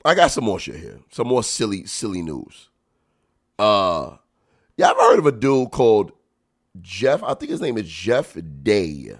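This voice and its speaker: Man, comedian host, stuffy nose, animated and slangy, lively pitch swings. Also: a little slow. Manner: animated, laughs loudly, chatty slang. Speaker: podcast host and stand-up comedian. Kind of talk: casual chat reacting to clips.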